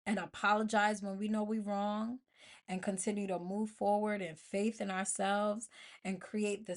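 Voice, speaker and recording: Emphatic American woman giving motivational podcast talk. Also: mid-range voice. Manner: emphatic, colloquial, repeats phrases for emphasis. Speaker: podcast host.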